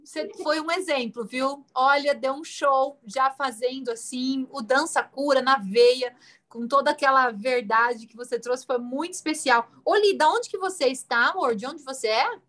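Bright, effusive woman host on a call. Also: high-pitched, moderate pace. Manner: effusive, praising. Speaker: dance teacher and host. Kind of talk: enthusiastic live-call hosting. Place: room with tapestry backdrop. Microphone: video call.

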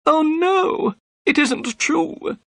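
A terrified, wailing man in an audiobook mystery, his voice pushed extremely high. He pleads in a drawn-out, desperate way. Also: creaky, fairly bright tone, dry recording, heavily acted. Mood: terrified, pleading. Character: frightened, pleading man wrongly accused of murder.

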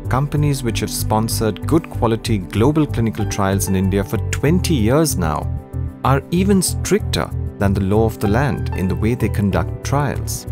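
A man reading scripted narration for an educational documentary, with music behind him. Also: mid-range voice. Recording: music under the voice. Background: guitar music.

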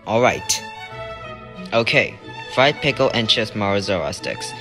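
A man's voice: fairly low pitch, animated, measured pace, over music. A man voicing a polite, efficient waiter in a cartoon. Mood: courteous.

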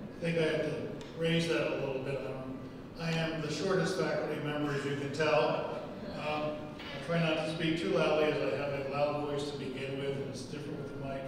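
A man's voice: a little high, flat delivery, fairly quick, background noise. A middle-aged man giving a wry, conversational public lecture at a podium. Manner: wry, self-deprecating, conversational. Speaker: guest lecturer. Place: large hall with arched windows. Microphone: podium microphone. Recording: echoey hall, far from the mic.

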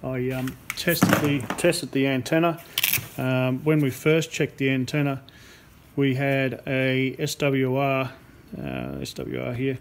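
Halting male repair technician, noisy recording. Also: mid-range voice, animated. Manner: halting, hesitant delivery with long pauses. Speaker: radio repair technician and presenter. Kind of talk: hands-on repair demonstration, unscripted explanation. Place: indoor workbench in a repair workshop. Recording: clear background noise, workshop ambience. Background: workshop room noise.

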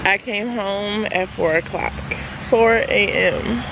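Tired young woman with a creaky voice. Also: mid-range voice, takes it slowly, muffled recording. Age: young adult. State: very tired, voice lower than usual. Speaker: vlogger, PhD graduate. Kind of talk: casual vlog chat. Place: outdoors by a house and in a car. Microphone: handheld camera at arm's length. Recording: noisy handheld camera outdoors and in car.

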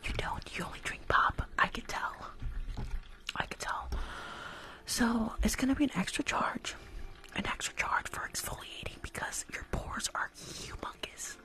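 A woman's voice: a little high, moderate pace, pushed and bright. A young woman playing a rude, judgmental spa receptionist in an ASMR roleplay, snarky and condescending, close to the microphone. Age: young adult. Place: small room at home, indoors. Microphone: close furry-windscreened condenser microphone.

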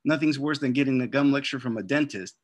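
A man's voice: mid-range voice, quick pace, close to an everyday voice. Man putting on a young girl, pleading, dramatic, gum-crazy, storybook voice.

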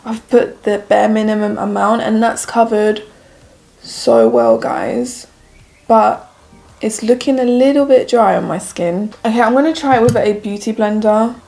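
A young woman in her twenties, a beauty vlogger chatting to camera while reviewing makeup, her voice croaky in the early morning. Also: medium pitch, measured pace, darkish tone. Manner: chatty, rambling, addresses viewers as guys. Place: plain indoor room with plants.

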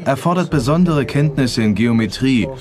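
A man's voice: medium pitch, expressive, moderate pace, crowd noise. A man giving expert commentary in a documentary, explanatory and matter-of-fact. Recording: music bed under the voice. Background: background music.

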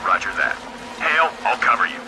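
An actor playing a gritty, unfazed soldier in a video game, talking in terse, dry military phrases. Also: male voice, mid-range voice, very animated, brisk, background noise, close to an everyday voice. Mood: wary. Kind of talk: dramatic video game voice acting.

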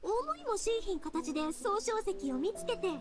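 An exuberant young woman from an anime game, speaking in a high voice with a Kansai accent. Her delivery is very animated, expressive and warm-hearted. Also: normal speed, music in the background. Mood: delighted. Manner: effusive, expressive. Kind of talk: Japanese anime game voice acting.

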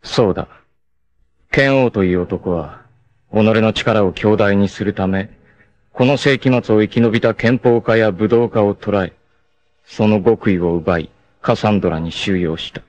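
Man acting a low-voiced, sardonic anime warrior. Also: unhurried, darkish tone, close to an everyday voice. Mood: grave, cool. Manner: cool, sardonic, dramatic delivery. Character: proud, cool, long-haired male martial arts warrior. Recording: noisy 1980s anime soundtrack.

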